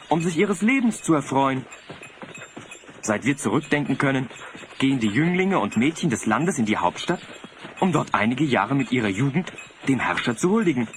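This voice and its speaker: A man plays a vain, naive young fellow with a very animated, mid-pitched voice. He speaks earnestly and with swooping intonation in radio play style, over faint tape noise. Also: close to an everyday voice. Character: naive, vain young male sled driver, devoted citizen. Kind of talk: German audio drama acting. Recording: slightly noisy cassette audio drama.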